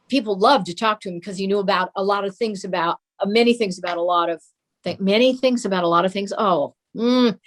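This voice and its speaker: Older lady telling family stories in an interview, medium pitch voice. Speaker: interview guest sharing memories of her father. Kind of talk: reminiscing, anecdotal storytelling.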